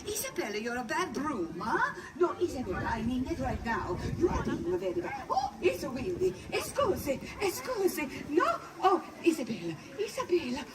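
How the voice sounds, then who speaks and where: A woman's voice: high voice, huge pitch swings, moderate pace, few pauses. A woman performing as a good-natured old Italian witch, talking to a crowd with a put-on Italian accent and coaxing, playful manner, calling children closer. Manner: playful, coaxing, scolds her broom. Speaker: costumed live performer. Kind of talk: live character storytelling to a crowd. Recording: distant mic, noisy outdoor crowd.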